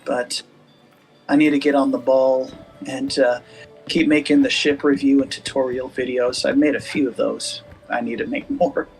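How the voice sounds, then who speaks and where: A man with a soft, gentle voice, chatting casually over voice chat with a streamer. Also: medium pitch, level tone, normal speed, gravelly, faint music behind, poor audio. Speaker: guest gamer.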